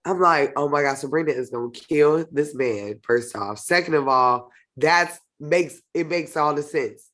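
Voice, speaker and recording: A woman with a stuffy nose from a cold, reviewing a TV episode in casual chat, expressive and opinionated. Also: sits low, a little slow, hardly any pauses. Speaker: host of a TV show review channel.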